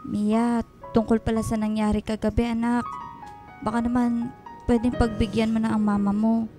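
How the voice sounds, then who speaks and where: An actress playing a gentle, coaxing elderly housekeeper with a Filipino accent in a radio drama. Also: female voice, fairly high pitch, flat delivery, moderate pace, soft background music, lightly acted. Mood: gentle pleading. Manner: coaxing, motherly, hesitant. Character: gentle elderly housekeeper, motherly, pleading, flustered peacemaker.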